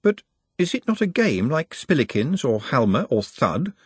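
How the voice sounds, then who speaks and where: A man's voice: medium pitch, big pitch swings, moderate pace, husky, no echo, voice pushed up. A man voicing a meek, earnest male servant in an audiobook, with precise, formal and overly polite wording. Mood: puzzled.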